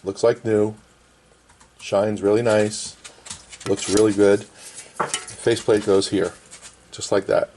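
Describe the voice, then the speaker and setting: Middle-aged male restorer, slightly low voice, casual plain-spoken bench demo, faintly noisy camera sound. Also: little pitch movement, takes it slowly, long pauses, darkish tone. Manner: plain, short repetitive explanatory phrases. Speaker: vintage radio restorer and presenter. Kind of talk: casual hands-on repair demonstration. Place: indoor workbench.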